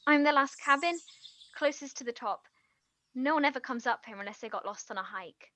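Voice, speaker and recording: A woman acting a nervous, eager aspiring writer in a naturalistic stage play, talking in a flustered, halting, rambling way. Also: high-pitched, fairly bright tone. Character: nervous, eager female aspiring writer hiding her past.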